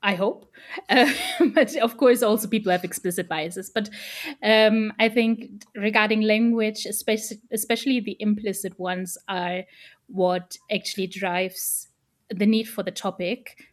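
A woman's voice: medium pitch, steady tone, measured pace. A woman whose first language is German, speaking in English as an interview guest about her research, thoughtful and full of ums and restarts. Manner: thoughtful, many fillers and restarts. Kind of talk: casual academic interview.

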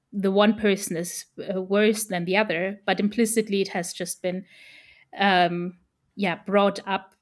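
A woman whose first language is German, speaking in English as an interview guest about her research, thoughtful and full of ums and restarts. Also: mid-range voice. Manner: thoughtful, many fillers and restarts. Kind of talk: casual academic interview.

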